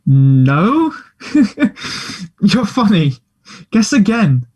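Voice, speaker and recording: Guy acting a teen schoolboy, kinda high voice, self-deprecating, earnest n teasing, table read of a play. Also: big pitch swings, drawn-out words, darkish tone, a little hiss or hum, heavily acted. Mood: playful, teasing.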